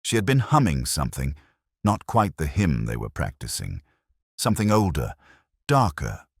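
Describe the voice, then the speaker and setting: Brief: male narrator, very low voice with expressive swings, measured and brooding, for scripted detective fiction. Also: vocal fry, husky, no echo, clean studio sound. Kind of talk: scripted first-person detective story narration.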